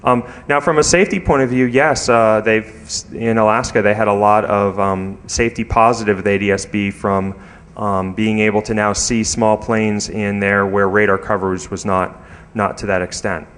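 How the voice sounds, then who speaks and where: A man speaking at a conference in an informal, halting way, with lots of filler phrases as he explains technical matters and takes questions. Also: lowish voice, fairly even intonation, a bit bright. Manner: hesitant, halting delivery with many filler phrases. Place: conference room, speaking to an audience.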